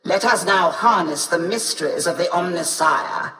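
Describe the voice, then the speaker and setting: Haughty machine priest for a game, high voice, grand ritual way of talking, echoey. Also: male voice, moderate pace, bright, forceful tone. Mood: reverent. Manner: ceremonial, haughty, archaic wording. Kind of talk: video game voice acting. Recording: distant, echoey hall reverb.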